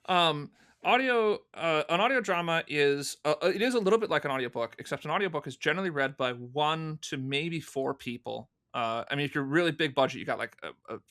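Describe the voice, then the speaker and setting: A man's voice: fairly high pitch, fairly quick, fairly bright tone. A man speaking casually and conversationally as a guest answering questions in an interview. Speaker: podcast guest, fan audio drama producer.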